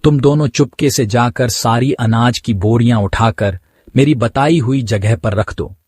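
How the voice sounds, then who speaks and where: A man's voice: medium pitch, measured pace, darkish tone, breathy edge, dry recording, clean studio sound. A man playing a scheming, commanding rich village merchant in a cartoon story, businesslike and bossy. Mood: conspiratorial, threatening.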